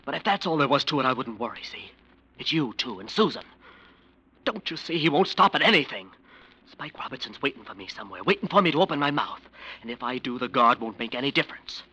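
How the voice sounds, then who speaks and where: An actor playing a conflicted, frightened defense lawyer in an old radio crime drama, earnest and halting. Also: male voice, mid-range voice, very animated, sharp tone, slightly rough, full character voice, poor audio. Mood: anguished, fearful. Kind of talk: 1940s-50s radio crime drama acting.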